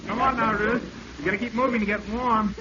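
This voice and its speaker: A high young male voice from an actor plays an attentive, eager army captain in 1940s radio drama. The recording is muffled, with clear noise behind him. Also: fairly even intonation, a little fast, lightly acted. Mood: urgent concern. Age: young adult.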